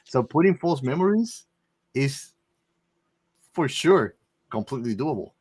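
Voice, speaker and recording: A man talks through a film as a podcast co-host. He is emphatic and likes to restate a point to hammer it in. His pitch sits in an ordinary male middle range. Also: big pitch swings, a little slow, darkish tone, breathy edge. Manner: emphatic, repeats phrases to drive points home. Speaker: movie discussion podcast co-host. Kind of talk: casual film discussion.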